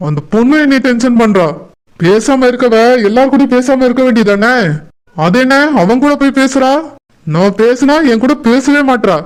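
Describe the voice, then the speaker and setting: A man's voice: extremely high pitch, moderate pace, clear, resonant tone. A man voicing a jealous, hot-tempered young groom-to-be who grumbles insistently in a village cartoon. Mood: angry, insistent. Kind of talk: cartoon voice acting in colloquial dialogue.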